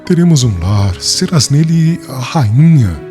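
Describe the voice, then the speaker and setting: A man's voice: mid-range voice, very animated, takes it slowly, mellow, faint music behind. An actor playing an ardent, devoted young man of the ancient world in an audiobook drama, declaiming his love earnestly and solemnly. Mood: joyful, ardent.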